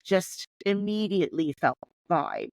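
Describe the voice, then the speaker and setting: A woman's voice: on the low side, expressive, moderate pace, darkish tone, no room sound, studio quality. A woman in her forties, originally from Hong Kong, speaking as a podcast guest and telling her life story in a candid, reflective way. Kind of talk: conversational podcast interview.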